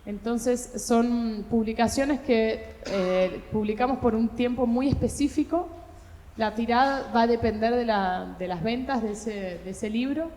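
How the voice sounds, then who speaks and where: A woman with Spanish tinged by Portuguese talks through her publishing work on a book fair panel. Her voice sits a little high but mellow, and she pauses and restarts often as she thinks. The sound is roomy, with a long hall reverb. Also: slight background noise. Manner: thoughtful, hesitant, many filler sounds and restarts.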